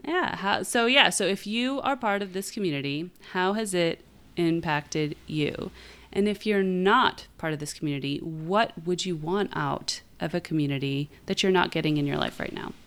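A woman's voice: fairly low pitch, moderate pace, smooth. A woman co-hosting a writing podcast in a casual, conversational style. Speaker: podcast co-host, writing teacher.

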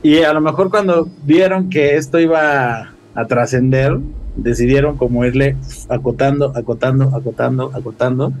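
A Mexican man chatting casually as a podcast guest, telling things in an animated, slangy way with swearing. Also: mid-range voice, moderate pace, a little hiss or hum, poor audio.

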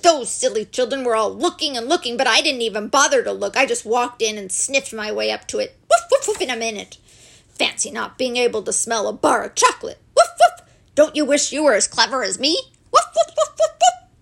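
An excitable storybook dog, acted out by a woman, full of himself and bursting into high, loud woofs between phrases. The pitch leaps wildly from chatty boasting up to sharp barks. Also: fairly quick, vocal fry, full character voice. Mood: boastful, gleeful.

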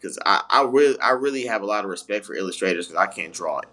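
A man who writes children's books, co-hosting a casual podcast and talking in a halting way with lots of fillers. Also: fairly low pitch, lively intonation, sharp tone. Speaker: children's book author and co-host.

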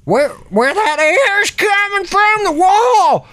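Shrill, cranky old man. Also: slow pace, heavily acted. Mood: agitated. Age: older. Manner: excitable, rambling, profane. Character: cranky, foul-mouthed old man fond of cat urine.

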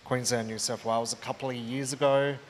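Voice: medium pitch, steady tone, fairly quick, slight background noise. An interviewer asking a question with hesitations and fillers.

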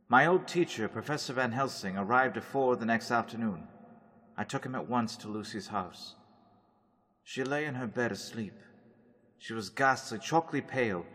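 A man's voice: mid-range voice, a bit bright, natural voice. A man playing an earnest young doctor narrating in a radio drama. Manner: measured storytelling narration. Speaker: Dr. Seward. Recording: long hall reverb.

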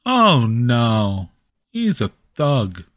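A man voicing a gentle, naive, sad scarecrow with halting speech in a tabletop game. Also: medium pitch, wildly swooping pitch, dry recording, exaggerated voice. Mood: sad, wistful. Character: simple, sad, gentle living scarecrow, missing its head.

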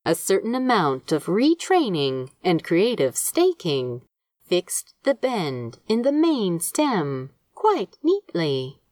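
A woman reading a story aloud as an audiobook narrator, measured and expressive in her scripted delivery. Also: on the low side, no room sound, clean studio sound. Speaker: fan fiction audiobook narrator.

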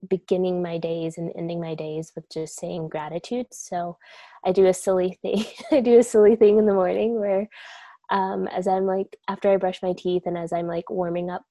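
A young woman talks in a low, gravelly, mellow voice, laid-back and full of casual fillers. She comes across as a reflective guest in an easy conversation. The sound is dry and close. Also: level tone, moderate pace, dry recording. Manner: soft-spoken, laid-back, many likes and you-knows.

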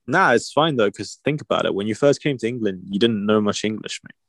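A man's voice: on the low side, expressive, fairly quick, vocal fry, fairly bright tone, dry recording, clean studio sound. A young man born in China, chatting casually and teasing his friend with frequent laughs. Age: young adult. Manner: laid-back, teasing, laughs often. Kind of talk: casual chat.

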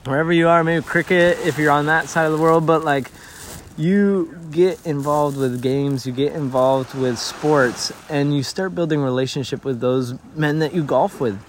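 A man raised in Tanzania speaks as a missionary, earnest and story-driven, often restarting his sentences. His voice sits a little high for a man. Faint outdoor ambience hums behind him. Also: normal speed, a little hiss or hum. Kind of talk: conversational interview.

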